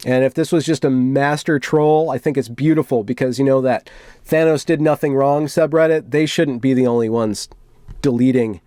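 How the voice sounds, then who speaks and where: A man's voice: medium pitch, steady tone, normal speed, warm tone, no echo, studio quality. A man giving wry commentary on internet culture as a video host, turning mock-dramatic when he reads things aloud. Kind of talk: commentary on internet culture, reading out a post and reacting.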